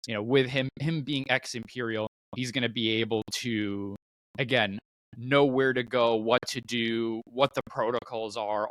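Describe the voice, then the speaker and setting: Male podcast co-host, easygoing conversational delivery, reasoning out loud, close and dry sound. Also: mid-range voice, no room sound, poor audio. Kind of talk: casual fan podcast discussion.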